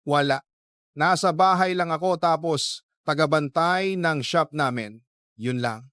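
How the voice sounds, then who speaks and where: A man's voice: fairly high pitch, unhurried, a bit bright, clear, resonant tone, no room sound, clean studio sound. A man voicing a self-sacrificing young woman in a radio drama, hesitant and resigned.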